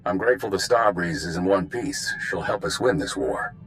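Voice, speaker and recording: An actor playing an authoritative intelligence commander in a video game, measured and grave. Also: male voice, low voice, lively intonation, fairly bright tone, faint music behind, rough recording. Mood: measured, commanding.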